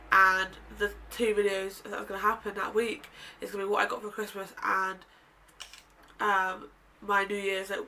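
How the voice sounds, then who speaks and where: A woman's voice: medium pitch, bright, forceful tone. Girl in her 20s rambling to camera, stuffy nose, sounds a bit far from the mic with faint background hum. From United Kingdom. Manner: rambling, chatty, self-correcting. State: nasal and bunged up. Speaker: vlogger. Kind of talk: casual sit-down chat to camera. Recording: distant mic with faint room ambience.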